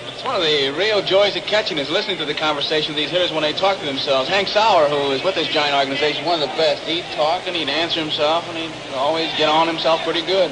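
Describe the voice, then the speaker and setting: A man's voice: on the high side, fast talker, few pauses, background noise. An American man giving chatty, conversational live baseball analysis on an old 1960s radio broadcast. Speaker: baseball color commentator. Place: open-air ballpark broadcast position.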